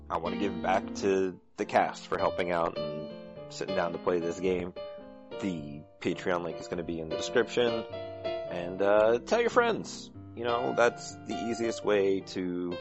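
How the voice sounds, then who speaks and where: Casting: low-pitched male podcast host, informal chatty outro read, a bit muffled, guitar underneath. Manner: informal, conversational. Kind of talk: casual outro appeal to listeners. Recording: slightly dull recording over guitar music. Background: guitar music.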